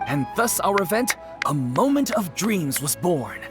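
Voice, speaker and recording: Courteous, animated fantasy event organizer. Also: female voice, lowish voice, unhurried. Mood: polite, nostalgic. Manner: courteous, formal, welcoming. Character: polite, enthusiastic adult event organizer in a fantasy land. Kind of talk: anime game character acting. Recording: music behind the voice.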